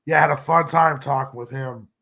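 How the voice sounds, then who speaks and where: A man with a stuffed-up, congested nose, signing off a live drawing stream in a relaxed, rambling way and clearing his throat often. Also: medium pitch, a little fast. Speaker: comic artist streamer. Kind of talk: casual live-stream sign-off.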